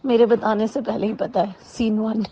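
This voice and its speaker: Husky, creaky woman, dull recording. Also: fairly high pitch, steady tone, normal speed, warm tone. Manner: mixes in English phrases. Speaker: amateur audio drama actress being interviewed. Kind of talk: conversational interview answers. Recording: dull, lo-fi sound.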